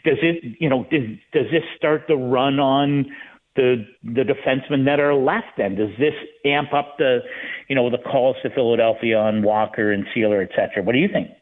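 A male hockey analyst talking on sports radio in a halting, conversational way, restarting phrases as he thinks aloud. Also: medium pitch. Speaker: sports writer, guest analyst. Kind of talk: hockey talk radio discussion. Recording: thin, phone-like line.

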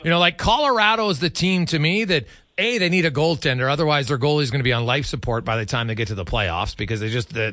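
A man's voice: on the high side, sharp tone, no echo. A man hosting a sports talk show, giving emphatic, conversational hockey analysis.

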